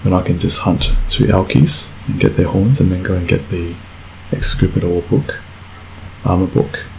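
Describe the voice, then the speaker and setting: A man with a croaky voice from a cold, talking casually through a video game and rambling with fillers. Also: deep voice, normal speed, a little mellow, slight background noise, rough recording. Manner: rambling, self-correcting, frequent fillers. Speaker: let's play gamer. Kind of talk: casual gameplay commentary.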